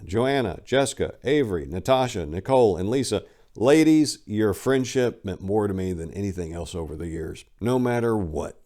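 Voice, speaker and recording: A man reading a book aloud in a dramatic style, with deliberate, declamatory delivery. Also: on the low side, darkish tone. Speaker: audiobook reader. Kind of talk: dramatic reading of a book's acknowledgments.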